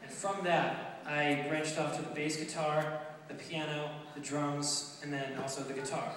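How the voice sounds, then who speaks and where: A man's voice: a little high, little pitch movement, a bit bright, recorded from a distance. Young man of nineteen giving a heartfelt testimony with stumbles, recorded from afar in a very echoey hall. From Romania. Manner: earnest, halting, many ums and restarts. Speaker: speaker telling his adoption story. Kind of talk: testimony speech to an audience. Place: large hall with an audience.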